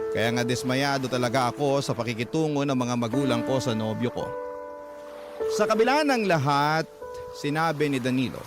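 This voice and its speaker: A man narrates a listener's letter in a measured, earnest read. His voice sits mid-range. Loud music plays behind him throughout. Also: medium pitch.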